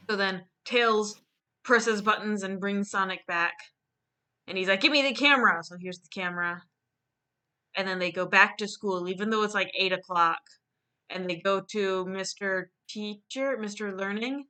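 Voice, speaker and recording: A woman's voice: mid-range voice, unhurried, long pauses, pushed and bright, clear, resonant tone. A woman chatting casually as she hosts and retells a silly story, playfully acting out the quoted lines. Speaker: host of a live online dramatic reading and drawing stream.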